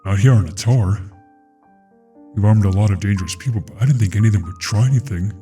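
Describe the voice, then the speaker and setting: A man's voice: lowish voice, fast talker, dark tone, far from the mic, soft background music, close to an everyday voice. A man playing a grave, terse alien warrior in an audio drama, imposing, stern and loyal. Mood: grieving.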